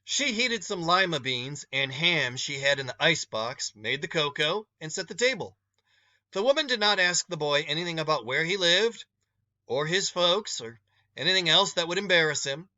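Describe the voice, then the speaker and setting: A man narrating a short story aloud expressively, with deliberate emphasis and dramatic pauses. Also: fairly high pitch, animated, bright, forceful tone, no echo, dull audio.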